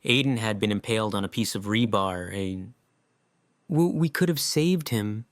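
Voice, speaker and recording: A man acting an earnest, guilt-ridden survivor in an audio drama, with halting, emotional delivery. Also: on the low side, expressive, dry recording, clean studio sound, natural voice. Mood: grim, recounting, then anguished, guilty.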